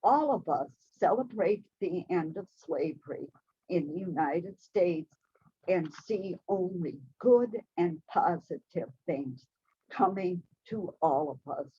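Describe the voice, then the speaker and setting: A woman giving a gentle, measured spiritual talk to a church congregation. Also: lowish voice, animated, breathy edge, dull audio. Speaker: church speaker. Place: church hall, also streamed to video call.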